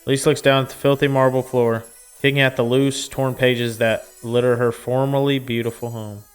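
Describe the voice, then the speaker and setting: A man's voice: mid-range voice, little pitch movement, moderate pace, clean tone, soft background music. A man reading a visual novel aloud as a gaming streamer, in a storytelling style with casual asides.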